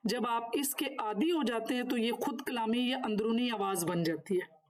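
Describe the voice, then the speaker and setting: A woman with a fairly high voice teaches a course. She moves between reading prepared notes and explaining them, keeping her wording formal and instructive. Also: normal speed. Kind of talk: lecture, partly read from notes with spoken explanations.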